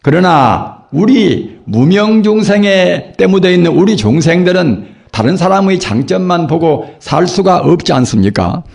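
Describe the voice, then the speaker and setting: An older man, a Buddhist monk, giving a sermon in a hoarse voice from a cold, preaching slowly and earnestly with rhetorical questions. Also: high-pitched, animated, a little mellow. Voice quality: gravelly, husky. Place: temple dharma hall.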